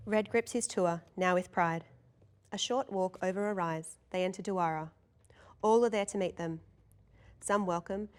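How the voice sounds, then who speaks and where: A woman's voice: lowish voice, clean tone. A woman reading scripted narration and stage directions as narrator at a table read.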